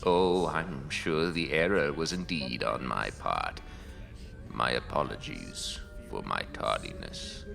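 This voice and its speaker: A politely sinister undead gentleman from a horror comedy audio play. He speaks very low with a gravelly creak, stiffly formal and deliberate, as music plays behind him. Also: full character voice. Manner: stilted, overly formal, slow and deliberate. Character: formal, courteous, sinister undead male colleague. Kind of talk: campy horror audio drama.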